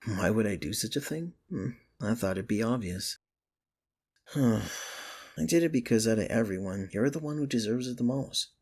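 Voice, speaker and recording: A man's voice: lowish voice, lively intonation, a little slow, a little mellow, husky, full character voice. A man playing a sardonic but secretly caring office rival in an intimate ASMR romance roleplay, with dry, earnest delivery. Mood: earnest, admiring. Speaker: ASMR voice actor.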